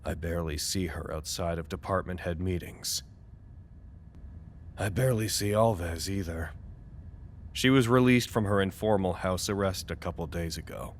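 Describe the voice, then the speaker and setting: Audio drama narrator: male actor as weary facility staffer, very low register, measured and solemn, faint noise behind. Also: lightly acted. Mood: somber, reflective.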